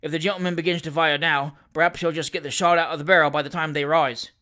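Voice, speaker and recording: A man's voice: a little high, steady tone, fast talker, sharp tone. A man voicing a grumbling, sardonic gamekeeper in a storybook reading. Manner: growling, dry.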